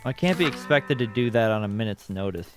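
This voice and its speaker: Male streamer, animated pitch, crude jokey banter, mic running a little hot. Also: a little fast, over music. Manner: joking, swearing, playful banter with chat. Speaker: game streamer. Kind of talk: casual live gameplay chat. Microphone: slightly overdriven streaming mic.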